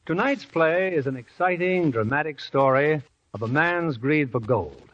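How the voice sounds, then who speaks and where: A man's voice: a little high, lively intonation, a little slow, fairly bright tone. A man presenting a 1940s radio drama in a formal showman manner, on a dull old radio recording. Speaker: radio drama producer host.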